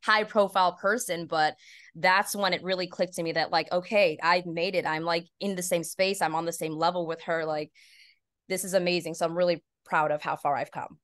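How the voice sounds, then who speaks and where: Casting: female podcast guest, slightly low register, clean resonant tone, conversational interview delivery. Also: steady tone, a little fast, fairly bright tone. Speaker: podcast guest, voiceover artist and PA announcer.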